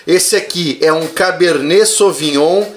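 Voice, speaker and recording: A man's voice: fairly high pitch, a little slow, background noise. A man hosting a podcast in a friendly way, reading out viewers' greetings and chatting with his guest. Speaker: podcast host. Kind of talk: casual chat.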